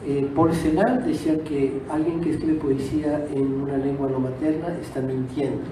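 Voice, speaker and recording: A man's voice: fairly high pitch, little pitch movement. Echoey, hesitant man, native Italian speaker. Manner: hesitant, reflective, pausing to reword. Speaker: guest author, poet. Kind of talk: unscripted public talk. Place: auditorium at a book fair. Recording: distant echoey auditorium, noisy background.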